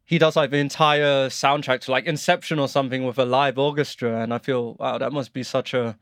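A man's voice: medium pitch, fairly even intonation, fairly quick, a bit bright, dry recording, clean studio sound. A man hosting a podcast, chatting in an easygoing way and often laughing lightly. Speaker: podcast host. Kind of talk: casual podcast conversation.

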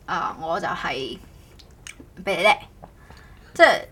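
Podcast host, woman, forward bright tone, casual and halting delivery. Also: lowish voice, animated, slightly rough. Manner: halting, repeats words, self-correcting. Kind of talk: casual co-hosted podcast chat.

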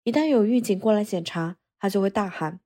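A woman narrates a scripted podcast as its host. Her mid-range voice is mellow and relaxed, and she tells the story in a measured, explanatory way. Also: medium pitch.

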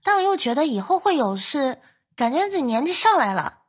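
A woman from northern China hosting a podcast, chatting casually in an expressive way full of little exclamations. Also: sits high, a little fast. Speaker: podcast host. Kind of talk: casual chat.

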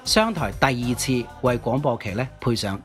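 A middle-aged man with a Hong Kong accent hosting a music-history talk show, telling stories in an animated way with exclamations and rhetorical questions. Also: mid-range voice, moderate pace. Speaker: radio DJ and music history podcast host. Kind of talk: conversational music-history commentary.

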